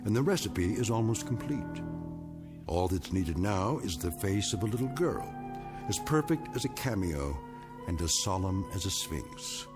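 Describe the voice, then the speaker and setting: A male actor as a grave, ominous storyteller, narrating an eerie radio drama in a measured, portentous way. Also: low-pitched, sing-song. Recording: radio drama narration over prominent music.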